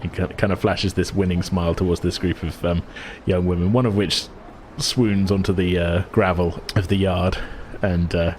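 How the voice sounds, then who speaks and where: A man's voice: low-pitched, level tone, moderate pace, a little mellow, a little hiss or hum. A man acting as game master, improvising descriptive narration for a tabletop game in a hesitant, searching way.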